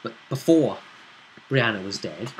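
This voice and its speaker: A man's voice: medium pitch, lively intonation, a little slow, low-quality recording. A man acting as game master, casually recapping a tabletop role-play session and checking in with his player as he goes. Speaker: game master and host of the vidcast. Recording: faint background noise.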